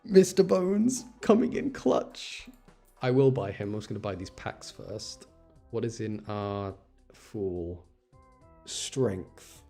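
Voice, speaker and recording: A man's voice: lowish voice, expressive, slow, creaky, dark tone, faint music behind. A man giving casual, chatty live game commentary, thinking aloud and correcting himself with mild exasperation. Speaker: game streamer.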